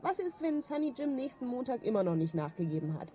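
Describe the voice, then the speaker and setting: An actress playing a concerned, sceptical woman in a radio drama, gently questioning. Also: a little high, very animated, fast. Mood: worried. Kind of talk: naturalistic radio drama acting.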